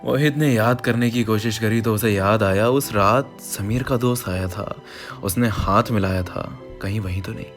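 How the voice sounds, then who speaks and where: An Indian man narrates a dramatic, emotional story in a fairly deep voice. He sounds earnest and conversational, as if speaking straight to the listener. Music plays behind his voice. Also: moderate pace. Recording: voice over background music.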